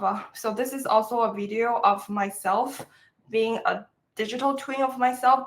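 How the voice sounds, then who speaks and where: Chinese-accented woman presenting with frequent fillers. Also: fairly high pitch. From China. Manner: halting, many fillers, friendly. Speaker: professor presenting. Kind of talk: webinar presentation.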